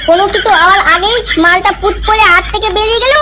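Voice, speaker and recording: A woman's voice: extremely high pitch, steady tone, fast, heavy background noise. A woman voicing a crude, grumbling young man in an amateur cartoon comedy, full of complaints.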